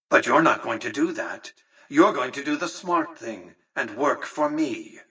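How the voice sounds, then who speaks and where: An actor playing an imperious, calculating elderly tycoon in a video game, speaking formally with precise, condescending diction. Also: male voice, medium pitch, pushed and bright, breathy edge, recorded from a distance, rough recording. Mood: smug, persuasive. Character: imperious elderly male tycoon ruling a casino city, coldly calculating. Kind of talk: scripted game dialogue.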